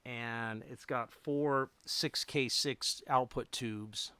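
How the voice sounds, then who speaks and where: A man chatting casually and unscripted as a hobbyist livestream host, rambling a little and thinking aloud in halting phrases. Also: medium pitch, fairly even intonation, sharp tone, clear, resonant tone, no echo. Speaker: radio repair hobbyist hosting a livestream.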